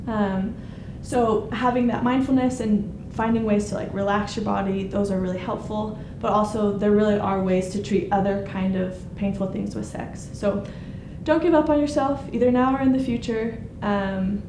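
A young woman giving a casual conference talk, pausing often with ums. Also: mid-range voice, fairly even intonation. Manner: hesitant, many ums. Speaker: conference speaker. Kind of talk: informal presentation. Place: conference room with projector screen. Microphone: handheld mic. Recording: echoey room, noisy.

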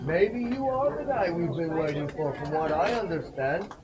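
A man leads a tour group through outdoor ruins, spinning the story with lively energy and tossing questions to his listeners. His voice sits a little high. Crowd chatter runs behind him, picked up by a body-worn camera mic. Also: moderate pace. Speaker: tour guide. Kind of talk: guided site tour explanation.

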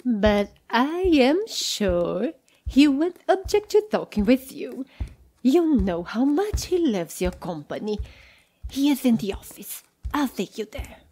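A woman acting a fussy hobbit lady in an audio drama. Her high voice is soft-edged and mellow, and she takes her words slowly with a self-satisfied, fretful air. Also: very animated, husky, full character voice. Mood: reassuring.

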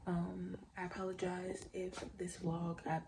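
A woman's voice: fairly low pitch, completely flat delivery, unhurried, vocal fry, echoey room, noisy background. A woman in her twenties chatting casually to a phone camera at home, rambling with many fillers. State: stuffy nose. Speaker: vlogger. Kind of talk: casual vlog chat. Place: bedroom at home. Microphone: phone camera at arm's length.

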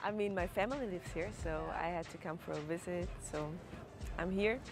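A woman's voice: fairly low pitch, normal speed. A young woman from Switzerland with a Swiss German accent, a guest on a TV show who talks hesitantly and searches for English words, recorded outdoors on a terrace with a lapel mic. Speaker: guest, actress and comedian.

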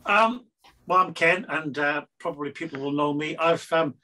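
Older northern English man, bright edge to the voice, self-deprecating ramble, webcam. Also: a little high, normal speed. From northern England. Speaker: online English helper. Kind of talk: video call conversation. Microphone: video call webcam.

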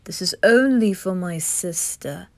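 A woman's voice: fairly low pitch, lively intonation, a little slow, darkish tone, voice pushed down. A woman voicing a reserved, serious young aristocratic boy in an ASMR roleplay, speaking tersely. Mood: determined.

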